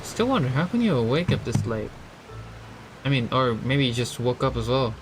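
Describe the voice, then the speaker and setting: A man chatting casually as he live-streams a game, halting and repeating himself with mumbled asides. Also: medium pitch, expressive. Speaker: gaming streamer. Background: music and keyboard typing.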